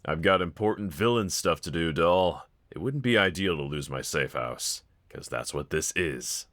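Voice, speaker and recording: A man's voice: sits low, expressive, normal speed, a bit bright, dry recording, clean studio sound. A man voicing a wanted young male villain in a fan audio roleplay, talking to one listener in a casual, sardonic, teasing drawl. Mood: exasperated, coolly menacing.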